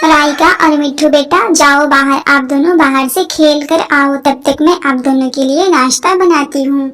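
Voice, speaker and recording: A kind cartoon mother bird, voiced by a woman, gentle and caring, with a high voice. Faint noise sits behind it. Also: steady tone, normal speed.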